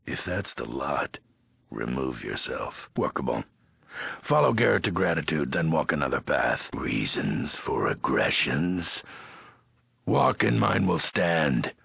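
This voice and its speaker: A menacing ghoul warlord from a video game, with an extremely low, rasping voice that is thick with gravel. He is weary and commanding, spitting out cryptic slang in terse, broken phrases at a slow, swinging cadence. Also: very animated, a bit bright, no echo, clean studio sound, full character voice. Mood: guarded, gruff. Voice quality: very gravelly, raspy. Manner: terse, commanding, threatening, odd broken syntax. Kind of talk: video game character voice acting.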